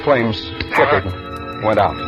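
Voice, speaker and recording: A man's voice: on the low side, slow pace, over loud music. An actor playing a hard-boiled narrator in a 1940s radio thriller, telling his story with tense drama. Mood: tense, pained. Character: hard-boiled male narrator recounting his own beating. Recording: dull lo-fi vintage 1940s radio recording.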